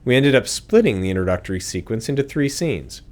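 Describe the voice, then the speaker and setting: A man's voice: mid-range voice, lively intonation, brisk. A man speaking as a game developer, reading scripted commentary.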